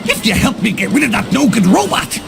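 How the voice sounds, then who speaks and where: A greedy cartoon crab boss with salty sailor talk. The voice is gravelly and pitched high, lurching dramatically up and down, with music behind. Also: male voice, sing-song, brisk, heavily acted. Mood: aggrieved. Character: gruff, money-loving male cartoon crab boss.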